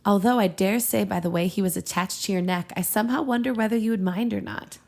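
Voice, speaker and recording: A woman reading the part of a genial, knowing older male professor in a story, with measured, formal, approving speech. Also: mid-range voice, a little mellow, lightly acted. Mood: knowing, indulgent.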